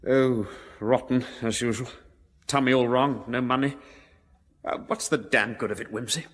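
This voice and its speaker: An actor playing a nerve-shattered upper-class English ex-soldier, stammering and self-pitying, in a radio drama. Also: male voice, mid-range voice, fairly even intonation, normal speed, lightly acted. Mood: bitter, despondent. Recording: reverberant hall-like room.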